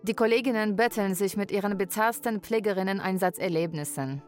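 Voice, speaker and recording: A woman's voice: medium pitch, fairly quick, soft background music, lightly acted. An actress playing a young, anxious Polish caregiver narrating her journey in a radio drama.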